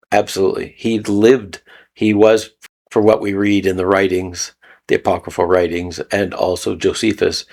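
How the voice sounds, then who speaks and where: A man's voice: lowish voice, vocal fry, darkish tone. A man from Canada giving a rambling, casual spoken teaching talk, repeating phrases and chuckling often. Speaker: video host. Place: lives in Mexico.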